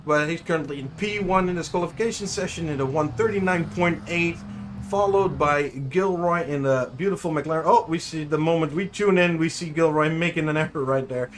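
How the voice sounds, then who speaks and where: Dutch guy calling a sim race live, kinda high voice, rambling and fixing his own words. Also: a little fast, faint music behind. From Netherlands. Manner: chatty, self-correcting, unsure of name pronunciations. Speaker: race commentator. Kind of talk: live sim racing commentary.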